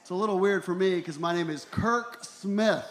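Guy in his 40s doing standup on a stage mic, kinda high voice, dry self-mocking bits, pretty echoey room. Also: normal speed, slight background noise. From Ecuador and El Salvador. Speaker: stand-up comedian. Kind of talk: stand-up comedy routine. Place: small comedy venue in a tent, on stage. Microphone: handheld stage microphone. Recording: roomy live comedy club sound.

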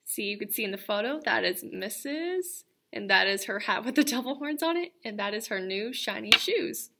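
Bright, expressive young woman reading aloud. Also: medium pitch, normal speed, vocal fry, slight background noise. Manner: expressive and animated. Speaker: teacher. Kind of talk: children's book read-aloud. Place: school classroom, indoors.

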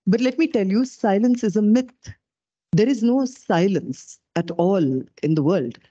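Low-voiced woman lecturing at a podium. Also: breathy edge, no echo, muffled recording. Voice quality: low-pitched voice. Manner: animated, self-deprecating, gestures while talking. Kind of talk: public lecture. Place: dark auditorium stage. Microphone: podium microphone.

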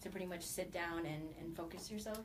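A woman's voice: lowish voice, quick pace, recorded from a distance, slight background noise. A young woman hosting a studio interview, affirming her guest often. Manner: steady affirming "mm-hmm" backchannel. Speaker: host. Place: small studio set, indoors.

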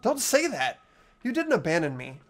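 A man's voice: sits high, huge pitch swings, moderate pace. A man voicing an earnest, determined teenage boy in a visual novel game, with emphatic delivery. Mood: earnest, concerned.

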